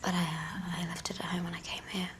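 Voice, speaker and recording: A woman's voice: on the low side, flat delivery, quick pace, a little hiss or hum, close to an everyday voice. An actress playing an uneasy, guarded young woman in a mystery thriller game, with naturalistic delivery. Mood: hushed, uneasy. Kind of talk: naturalistic screen acting. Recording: quiet game audio under prominent music. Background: soundtrack music.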